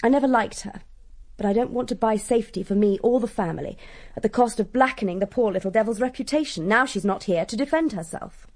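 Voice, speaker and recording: Actress as well-bred young Englishwoman: soft, mellow tone, cool frankness, quietly challenging, radio drama. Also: fairly high pitch, fast, lightly acted. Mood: frank, challenging. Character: candid, strong-willed upper-class young woman, quietly challenging.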